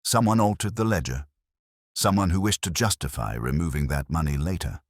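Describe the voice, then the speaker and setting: Audio mystery role: fretful village vicar played by a male narrator, low voice, urgent, swooping intonation. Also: animated, brisk, no echo, studio quality, heavily acted. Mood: frantic, insistent. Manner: breathless, urgent, frantic.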